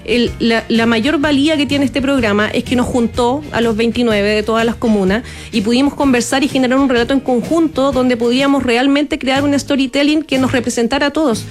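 Chilean woman, somewhat high voice, eager radio guest, faint music behind. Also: fairly even intonation, brisk, few pauses. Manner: enthusiastic, flowing, with Chilean fillers. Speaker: tourism entrepreneur guest. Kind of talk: radio interview.

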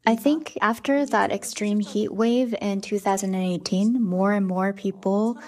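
A woman's voice: medium pitch, steady tone, a little slow, a little mellow. Woman interpreter with a mid-range voice. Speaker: interpreter voicing a guest's answers. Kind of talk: spoken interpretation of interview answers.

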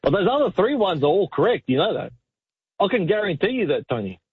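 An excitable man with a high, husky voice, calling a talk radio show and bantering insistently, often repeating himself. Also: fairly quick, no room sound. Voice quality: high-pitched voice. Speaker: talk radio phone-in caller. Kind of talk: animated banter and argument. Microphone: phone call. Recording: tinny narrow phone line.

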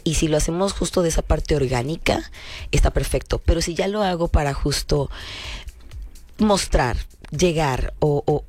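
A man's voice: a little high, darkish tone. A man, a show guest, offering reflective advice, hesitant at first and then encouraging. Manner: hesitant, repeats words, then speaks in encouraging imperatives.

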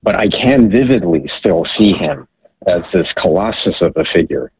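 A man of about sixty calls into a radio show over a tinny phone line. His voice is very deep and gravelly. He speaks in an earnest, measured way. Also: animated, dry recording. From Hawaii.

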